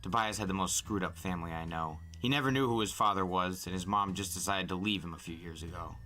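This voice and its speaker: A man's voice: low-pitched, fast, pushed and bright. A man reading a novel aloud in the first person as a storyteller.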